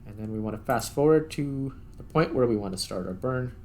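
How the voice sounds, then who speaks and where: A man's voice: medium pitch, expressive, fairly quick, mellow, slight background noise. A man giving casual gameplay commentary, thinking aloud in a tentative, self-questioning way as he narrates each step. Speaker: gaming video host.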